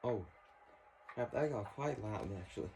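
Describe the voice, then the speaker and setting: A man hosting a casual hands-on how-to video indoors, explaining in a halting, informal way. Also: lowish voice, expressive, vocal fry, darkish tone. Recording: noisy room with clicks and handling sounds.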